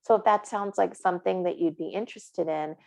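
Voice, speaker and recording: A woman's voice: lowish voice, fairly quick, gravelly, a little mellow. A woman presenting a career workshop in an encouraging, upbeat way. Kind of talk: online career workshop talk.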